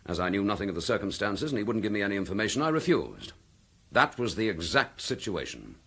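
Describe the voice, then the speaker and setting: An actor playing an accused chief inspector, dogged and defiant, speaking in a firm, measured, indignant manner in a radio drama. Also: male voice, fairly low pitch, very animated, creaky, fairly bright tone, a little hiss or hum, full character voice. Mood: defensive. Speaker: police detective.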